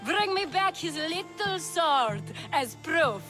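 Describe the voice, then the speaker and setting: Sly courtesan, slow teasing delivery, worldly and sardonic, game cutscene with music behind. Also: female voice, very high-pitched, very animated, fairly bright tone, exaggerated voice. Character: sly, flirtatious, worldly courtesan of ancient Athens. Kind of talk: video game cutscene acting.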